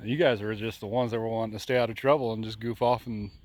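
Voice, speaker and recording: A man's voice: on the low side, steady tone, fast. A man in his forties with a Midwestern American accent, chatting casually as a vlogger outdoors. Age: 40s. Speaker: vlogger interviewing a friend. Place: outdoors at an old rural bridge.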